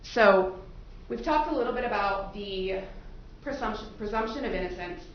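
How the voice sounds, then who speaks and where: A woman's voice: medium pitch, expressive, moderate pace. A woman working as a defense attorney, questioning prospective jurors in a courtroom in an informal, conversational, explanatory way. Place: courtroom, into a microphone. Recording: echoey courtroom audio, muffled, noisy.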